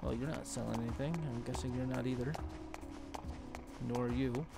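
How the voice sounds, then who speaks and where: A laid-back man doing live game commentary at a middling pitch. He rambles casually as he plays, with clear music running behind his voice. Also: fairly even intonation, normal speed. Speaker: video game streamer.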